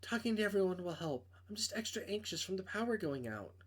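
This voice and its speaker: A man's voice: high voice, sing-song, quick pace, pitched above the performer's own voice. A man voicing an anxious young woman in a visual novel, nervously scolding and reassuring herself. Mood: anxious, self-chiding.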